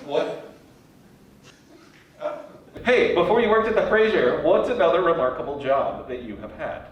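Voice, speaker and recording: A man's voice: on the high side, expressive, a little slow. A man hosting a casual, informal interview in a playful way. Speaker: interviewer, host. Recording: very echoey, distant, dull sound, faint noise.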